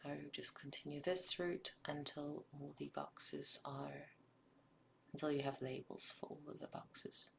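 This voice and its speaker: A South African woman whose first language is Afrikaans, narrating a craft project in a soft, often whispered ASMR style with frequent ums. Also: low-pitched, fairly even intonation, a little slow, vocal fry, slight background noise. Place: home craft table.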